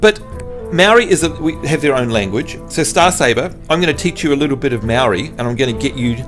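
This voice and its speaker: A man's voice: medium pitch, expressive, fast, fairly bright tone, faint music behind. Chatty playful male game streamer. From New Zealand. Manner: chatty, playful, teasing chat quizzes. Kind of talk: casual live-stream chat with viewers.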